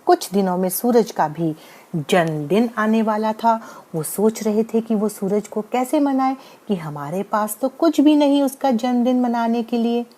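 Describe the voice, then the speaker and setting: A woman's voice: fairly high pitch, unhurried, dark tone. A woman narrating a scripted bedtime moral story as the storyteller.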